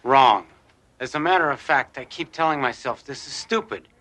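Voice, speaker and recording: A man's voice: mid-range voice, expressive, moderate pace, bright, forceful tone. An actor playing an earnest, hesitant man drawn to a waitress, in naturalistic television drama. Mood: defensive, sincere.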